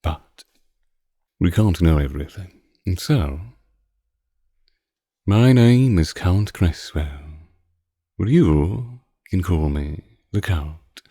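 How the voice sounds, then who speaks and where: A man's voice: low voice, animated, takes it slowly, pauses often, mellow, slightly rough, no room sound, clean studio sound, exaggerated voice. A man playing an ancient, courtly vampire count in an intimate roleplay audio, with formal, archaic phrasing and a teasing, condescending, predatory manner. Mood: flirtatious, teasing.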